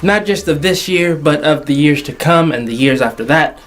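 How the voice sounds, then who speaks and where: High-voiced young man hosting over music. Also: a bit bright. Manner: jokey, slangy, drawn-out emphasis. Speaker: video host. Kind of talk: casual entertainment commentary. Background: background music.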